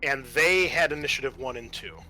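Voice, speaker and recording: A man's voice: medium pitch, brisk, sharp tone, soft background music, low-quality recording. A man acting as game master for a tabletop roleplaying game, explaining turns and narrating in a conversational, improvised way.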